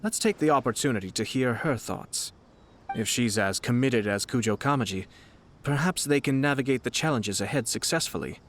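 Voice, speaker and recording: A composed young nobleman played by a man in a fantasy video game. He speaks at a medium pitch with formal, measured courtesy and quiet shrewdness, over clear background ambience. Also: animated, slight background noise, close to an everyday voice. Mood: calm, thoughtful.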